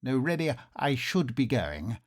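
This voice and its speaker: Jittery victorian gentleman in a radio play, very dramatic, voice goes up and down loads. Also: medium pitch, big pitch swings, unhurried. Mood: uneasy. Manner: theatrical, formal, melodramatic. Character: nervous, suspicious Victorian nobleman, lost castle guest. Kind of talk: old-time radio theatre comedy acting.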